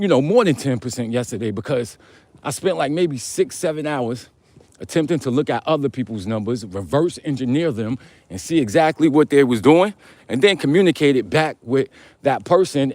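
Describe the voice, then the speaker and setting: A man's voice: a little mellow, no room sound. Casual slangy man, close dry sound. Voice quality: deep voice. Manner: slangy, conversational, addresses listeners as brother and man. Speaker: host of a live vlog. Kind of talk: casual rambling talk, answering viewer comments.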